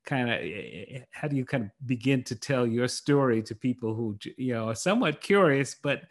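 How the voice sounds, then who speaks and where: A man's voice: mid-range voice, lively intonation, moderate pace. A man with a mid-range voice hosts a podcast conversation. He asks a student about their experiences, circling through several related questions in an easygoing, curious way. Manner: gently probing, asks layered follow-up questions. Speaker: podcast interviewer and mentor.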